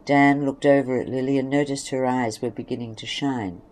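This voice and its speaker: A man's voice: medium pitch, steady tone, a little fast, a little mellow. A man reading scripted story narration for an audio drama.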